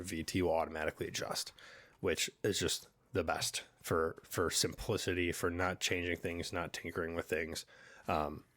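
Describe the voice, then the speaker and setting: Low nasal man with a cold. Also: dry recording. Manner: relaxed, filler-heavy, conversational. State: congested with a cold. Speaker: finance channel host. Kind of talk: casual talking-head explainer.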